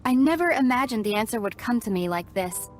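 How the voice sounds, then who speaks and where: Lady voicing a guilt-burdened young princess for a game, highish voice, formal and resolute, music underneath. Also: animated, fairly quick, lightly acted. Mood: haunted, grave. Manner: earnest, formal storytelling delivery. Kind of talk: dramatic video game dialogue. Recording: studio voice over background music.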